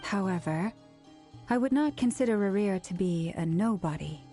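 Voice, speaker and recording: Calm, formal, mellow-voiced young woman, acted. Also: mid-range voice, soft background music, natural voice. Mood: measured, grave. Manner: measured, precise, courteous. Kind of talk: video game voice acting.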